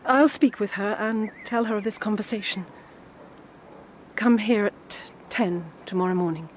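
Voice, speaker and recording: A woman's voice: medium pitch, unhurried, a little hiss or hum, natural voice. An actress playing an anxious, guarded middle-aged woman, hesitant and pleading, in a period audio drama. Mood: sorrowful, secretive. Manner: hesitant, pleading, formally polite. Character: anxious, guarded older female guardian keeping a sorrowful secret.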